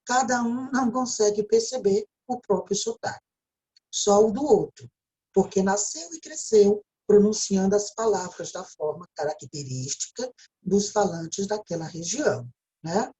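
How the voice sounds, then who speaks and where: A woman's voice: mid-range voice, lively intonation, unhurried, dark tone, rough recording. A woman with a Brazilian Portuguese accent gives a recorded video lecture, explaining step by step and often checking in with a tag question. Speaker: teacher.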